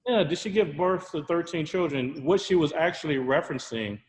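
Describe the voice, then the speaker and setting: A man's voice: fairly high pitch, brisk, a little hiss or hum. A man on a video call asking questions politely, often restarting his sentences. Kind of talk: casual video-call conversation. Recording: echoey room with long reverb.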